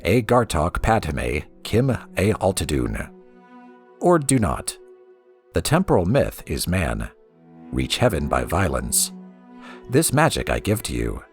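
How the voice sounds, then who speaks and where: A man's voice: deep voice, huge pitch swings, takes it slowly, long pauses, faint music behind, heavily acted. A man reading aloud as a solemn, cryptic god-king who preaches prophecy in a portentous, incantatory way.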